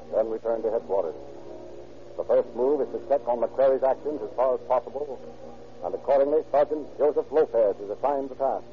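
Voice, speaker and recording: An actor as the formal narrator of a police case in an old-time radio crime drama. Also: male voice, medium pitch, a little hiss or hum, natural voice. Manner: formal, urgent present-tense reporting. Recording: tinny old radio recording. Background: music.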